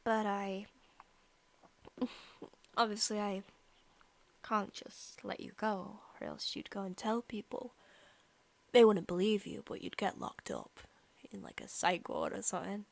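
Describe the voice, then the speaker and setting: Woman playing a slow, creaky possessive vampire girl. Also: medium pitch, animated. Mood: sinister, fond. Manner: teasing, casually menacing, giggly, drifting into whispers. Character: possessive sadistic young female vampire, playful and menacing. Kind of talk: ASMR roleplay, softly spoken.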